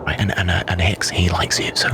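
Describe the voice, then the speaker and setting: A man playing a tabletop game, talking casually and pitching plans in an animated, conspiratorial way. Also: a little fast. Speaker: player. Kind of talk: casual in-character table talk. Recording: loud noisy ambience.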